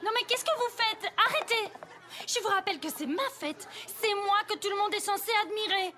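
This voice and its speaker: Casting: bratty rich pony girl, haughty, very high, sharp bright tone. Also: a little fast, a little hiss or hum. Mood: indignant. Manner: haughty, mocking, theatrical. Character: snooty, spoiled mean young filly pony. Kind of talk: French cartoon dubbing.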